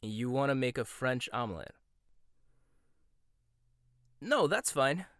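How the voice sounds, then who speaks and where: A cocky young male chef, condescending and competitive, as a man acts him out, teasing his rival with smug laughs. His voice sits at an ordinary middle pitch. It is recorded close and dry with no room sound. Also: very animated, slow pace, fairly bright tone, no echo, full character voice. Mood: bossy. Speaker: voice actor. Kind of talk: boyfriend ASMR roleplay.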